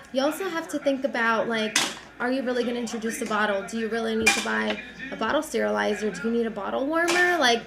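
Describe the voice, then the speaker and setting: A young woman vlogging casually about baby gear, a little raspy from a cold, talking in a loose, chatty way with lots of fillers. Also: fairly high pitch. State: has a cold, slightly raspy. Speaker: mom vlogger. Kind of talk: casual chat to camera.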